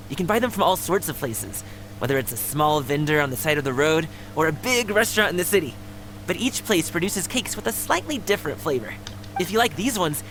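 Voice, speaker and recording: A woman voicing a friendly, energetic and chatty young female guard in a video game, with a casual, considerate way of talking. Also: deep voice, a little hiss or hum, rough recording. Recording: game music underneath.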